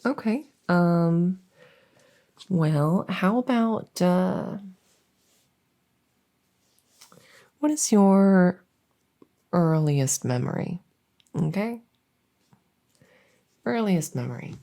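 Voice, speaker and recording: A harmless, silly female monster from under the bed, played by a woman in an ASMR audio roleplay. Her voice is low, soft and mellow, with a creaky edge. She rambles haltingly and earnestly, like an awkward interviewer. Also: expressive, heavily acted. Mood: hesitant, curious. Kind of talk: ASMR audio roleplay, one-sided improvised-sounding interview.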